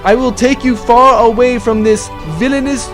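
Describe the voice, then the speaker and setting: A man's voice: very high voice, fairly even intonation, moderate pace, over music. A man playing a pompous, gallant but bumbling space pirate captain in a comedic audio drama. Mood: heroic bravado. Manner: pompous, declamatory.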